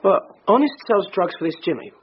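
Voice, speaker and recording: An actor playing an informal, sceptical British police sidekick in a naturalistic radio drama. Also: male voice, high voice, lively intonation, fast talker. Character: questioning, loyal male police sidekick to a woman boss. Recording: dull radio recording with faint noise.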